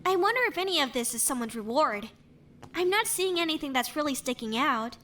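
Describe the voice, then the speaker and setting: A high-voiced young woman investigator played by a voice actress in an animated mystery, casual and tidy-minded. Her pitch moves around a lot, and there is some noise behind her. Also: fairly quick. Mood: thoughtful, careful. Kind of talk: voice acting in an animated murder mystery.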